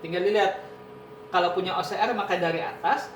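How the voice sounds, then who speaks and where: A man giving an online university lecture, explaining step by step and often saying "nah" and "ini". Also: fast talker. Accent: Indonesian. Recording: echoey room with noise and rumble.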